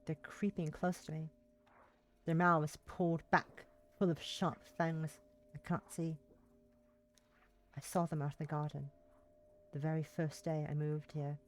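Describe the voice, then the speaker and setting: A woman's voice: low voice, little pitch movement, a little slow, pauses often. A woman live streaming, chatting casually and reading visual novel text aloud, now and then tripping over words and rereading them. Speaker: live streamer playing a game.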